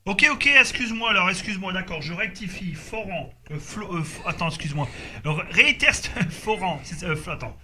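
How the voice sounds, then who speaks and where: A man's voice: high voice, a little fast. A man chatting casually as a radio operator, repeating his phrases in a hesitant, agreeable way. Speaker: amateur CB radio operator recording the group contact. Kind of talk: casual radio contact chat. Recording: faint background noise.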